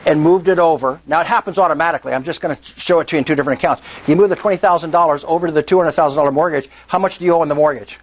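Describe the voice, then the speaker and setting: A man's voice: fairly high pitch, racing, few pauses, poor audio. A man hosting a real estate meetup, explaining to a live audience and often checking in with "right?" and "okay?". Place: meeting room.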